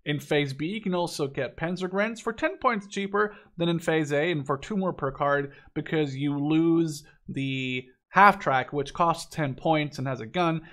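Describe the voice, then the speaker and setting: Man in his twenties, somewhat high voice, conversational game commentary, opinionated, rambling. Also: measured pace, few pauses, fairly bright tone. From Netherlands. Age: 20s. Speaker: gaming video commentator.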